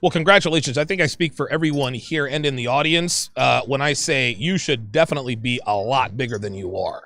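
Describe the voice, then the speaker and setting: A man hosting a casual panel podcast, animated and joking, often laughing, with a high-pitched voice. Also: a little fast, no room sound, studio quality. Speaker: podcast host.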